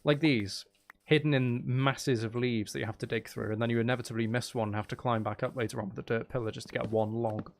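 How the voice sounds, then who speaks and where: Man, medium pitch, live game streamer, casual chatter, playful dry teasing, noisy recording. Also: steady tone, quick pace, vocal fry.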